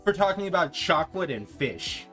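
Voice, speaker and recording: A man's voice: sits high, big pitch swings, moderate pace, pushed and bright, soft background music. A man hosting a casual chat show, teasing his guests bluntly and swearing freely. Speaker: podcast host.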